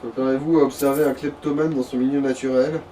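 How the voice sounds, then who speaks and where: A man's voice: mid-range voice, level tone, fast, mellow. A man chatting casually as a companion commenting on a video game. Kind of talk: casual chat. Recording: distant mic, noisy background.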